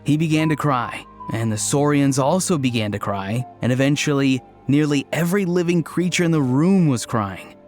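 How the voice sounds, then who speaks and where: Actor as a mild, deadpan young man with a middle-range voice. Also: medium pitch, normal speed, soft background music, lightly acted. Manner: flat, deadpan delivery. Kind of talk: video game voice acting.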